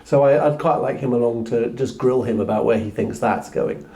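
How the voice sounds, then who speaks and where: A man's voice: mid-range voice, normal speed, heavy background noise. A man speaking on a tech panel, self-deprecating and full of restarts and repeated words. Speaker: panelist, CTO. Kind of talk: casual panel talk.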